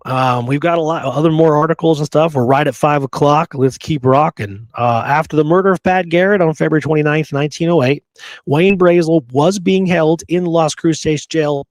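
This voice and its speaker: A man's voice: a little high, dry recording. A man hosting a history show, reading old sources aloud between chatty asides. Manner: chatty, with frequent "you know" and "okay" asides. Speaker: history podcast host.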